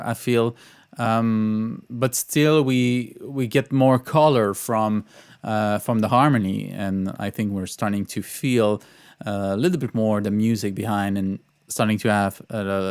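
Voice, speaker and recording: A man giving an informal music tutorial as a composer, thinking aloud in a halting way. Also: lowish voice, smooth. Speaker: composer teaching orchestration.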